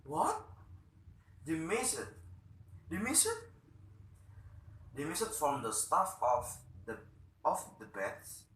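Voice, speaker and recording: A man playing an indignant, stubborn middle-aged idealist doctor in a play, defiant, exclamatory and halting. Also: a little high, huge pitch swings, a bit bright, roomy sound, recorded from a distance, voice pushed up, full character voice.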